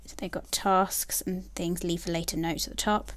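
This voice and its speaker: A young woman chatting casually about planner stickers, soft and gushing, with many ums and likes. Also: lowish voice, little pitch movement, moderate pace. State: has a bad cold, nasal and throaty. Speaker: planner sticker haul vlogger. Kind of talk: casual haul chat. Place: indoors at a table, filming her hands.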